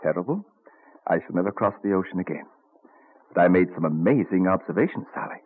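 A man's voice: sits low, expressive, a little fast, husky. A man playing a genial, scholarly old statesman and inventor in an old-time radio drama, musing fondly and savouring his words. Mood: fond, proud. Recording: muffled vintage radio recording.

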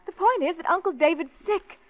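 An actress playing a gentle young wife pleading with her husband in a 1940s radio drama. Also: very high voice, a little fast. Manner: soft, pleading. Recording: dull old radio recording.

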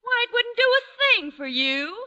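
A woman's voice: extremely high pitch, big pitch swings, normal speed, pushed and bright, dull audio, exaggerated voice. A woman playing a snippy, flustered department store saleswoman in a classic radio sitcom, arch and hesitant. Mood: flustered, evasive.

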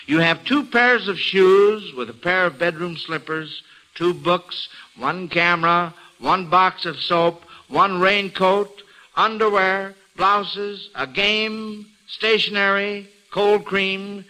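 A man's voice: high voice, fairly even intonation, slow, pushed and bright, rough recording. A man with an American accent hosting a classic radio quiz show, with folksy, chatty patter for kids and listeners. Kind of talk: classic mid-century radio hosting, part scripted and part ad-libbed.

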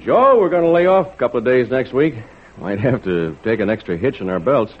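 An actor playing a plainspoken, stubborn, warm-hearted lobsterman in an old-time radio drama, earnest and folksy. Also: medium pitch, lively intonation, brisk, slight background noise, close to an everyday voice. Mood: hopeful, determined. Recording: slightly muffled vintage radio recording.

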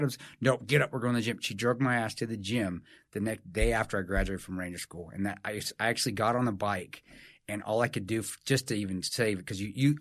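A man's voice: fairly low pitch, no room sound, clean studio sound. A man, telling stories animatedly in casual podcast chat, swearing and mocking himself. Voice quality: nasal. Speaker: podcast co-host.

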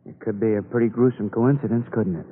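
A man's voice: fairly low pitch, fairly even intonation, quick pace, natural voice. Guy doing a tired wry cop from a 50s radio show, tinny and noisy, short questions. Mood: wry, disgusted. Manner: casual, wry, clipped questions. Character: jaded, wry male police detective sidekick. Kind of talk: 1950s radio crime drama acting.

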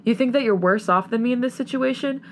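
A woman's voice: a little high, quick pace, slight background noise, voice pushed up, lightly acted. A woman playing a betrayed young girlfriend in an audio story, bitter, sarcastic and ranting through heartbreak. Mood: hurt, tearful. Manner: ranting, scornful, tearful.

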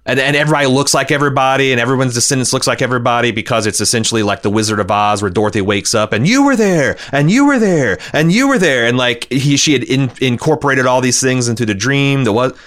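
A man's voice: medium pitch, quick pace, hardly any pauses, a bit bright. A man hosting a podcast, casually recapping television and reading out listener feedback in an opinionated, exasperated way with some swearing. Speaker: podcast host.

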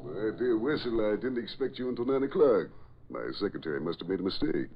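A haughty, double-dealing spy in a vintage radio thriller. The voice is low and husky, swinging widely as it bristles with indignation. The old recording sounds dull. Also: male voice, animated, brisk. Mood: smooth, haughty. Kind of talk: 1940s radio drama acting.

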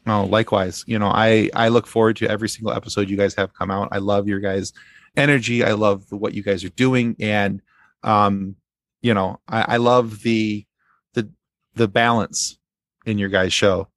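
Male podcast guest, deep with a creaky edge, earnest and effusive, casual delivery, dry close sound. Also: steady tone, moderate pace, a bit bright, no room sound.

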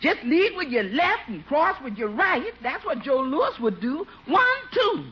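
A woman's voice: high-pitched, very animated, a little slow, fairly bright tone, heavily acted. A woman playing a folksy, chipper, encouraging housekeeper in a 1940s radio comedy, speaking colloquially. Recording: muffled, noisy old radio broadcast.

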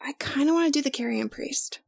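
A woman's voice: high voice, a little fast, vocal fry, darkish tone. A woman chatting casually as a podcast co-host. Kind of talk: casual chat.

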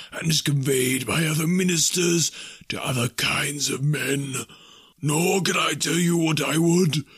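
A man voicing a mournful chained ghost in a classic story reading, with slow, solemn, wailing declamation. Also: a little high, fairly bright tone, slightly rough, dry recording, voice pushed up, heavily acted. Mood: weary, sorrowful. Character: mournful male ghost in chains, tormented by remorse.

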